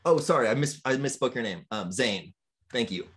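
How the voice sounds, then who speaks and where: A man running a tabletop role-playing session as game master, encouraging the players and pausing often with ums. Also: mid-range voice, expressive, fairly bright tone, breathy edge. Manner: encouraging, hesitant with frequent ums.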